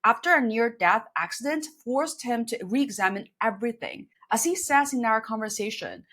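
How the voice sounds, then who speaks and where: A woman's voice: a little high, expressive, moderate pace, fairly bright tone. A woman from China hosting an interview podcast, moving between scripted introduction and conversational questions. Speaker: podcast host.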